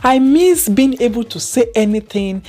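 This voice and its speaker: A woman's voice: on the high side, expressive, moderate pace, mellow. A woman in her thirties from Nigeria with a Nigerian accent, chatting casually to camera as a vlogger and repeating phrases for emphasis.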